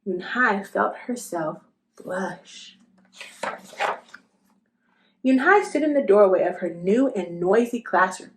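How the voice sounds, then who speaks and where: A woman narrating a children's storybook aloud in an expressive style. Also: mid-range voice, takes it slowly, long pauses, a little hiss or hum. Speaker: storybook reader.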